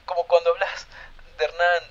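A man's voice: a little high, level tone, normal speed, a bit bright, natural voice. An actor playing a sentimental Argentine man confiding in his best friend through a recorded message in an audio drama. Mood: tender. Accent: Argentine, Rioplatense. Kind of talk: intimate recorded-message monologue in audio fiction. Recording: slightly dull, lo-fi recorded message.

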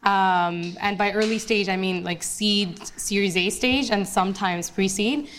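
A young woman from Bangladesh answers questions in front of an audience. Her talk is casual and chatty, full of fillers and restarts. Her voice sits at a middle pitch. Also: a little slow, a bit bright, slight background noise. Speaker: guest speaker, investment analyst. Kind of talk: casual onstage interview.

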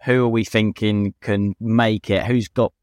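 Low-voiced British man chatting about motorsport. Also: fairly even intonation, a little slow, no room sound, studio quality. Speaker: podcast co-host and racing driver. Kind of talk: casual motorsport chat.

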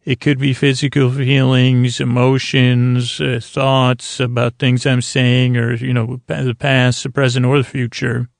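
A man with a creaky voice hosting a bedtime podcast in a languid, meandering, soothing ramble, often stumbling over his words. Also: mid-range voice, flat delivery, unhurried, hardly any pauses, dry recording. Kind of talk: rambling, soothing sleep-aid monologue.

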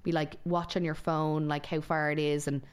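Woman, podcast co-host, low, smooth voice, gushing casual chat. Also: level tone, moderate pace. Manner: chatty, gushing travel recommendations.

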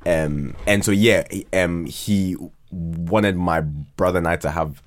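A man's voice: deep voice, takes it slowly. A young man with a Ghanaian accent chatting casually in an interview, often repeating words and restarting as he searches for his answer. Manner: hesitant, stammering repeats and false starts. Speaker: podcast guest, writer and creator.

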